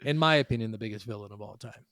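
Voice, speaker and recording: A man's voice: fairly low pitch, racing, a bit bright, no echo, clean studio sound. A man co-hosting a podcast, chatting casually about video games in a blunt, emphatic, sweary way. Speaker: podcast co-host.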